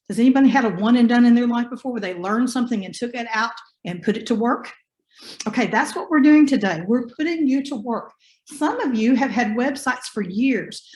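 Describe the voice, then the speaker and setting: A hoarse woman with a slightly high voice teaches an online class over a video-call mic, folksy and encouraging. She keeps asking her students questions. The sound is roomy and echoey. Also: a little mellow. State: hoarse from bronchitis. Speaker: online business instructor. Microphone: computer mic on a video call.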